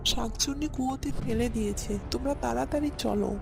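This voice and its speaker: Woman voicing an excitable, creaky young village man. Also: on the high side, brisk, music in the background. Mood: alarmed. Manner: urgent, exclaiming. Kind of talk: cartoon story dialogue.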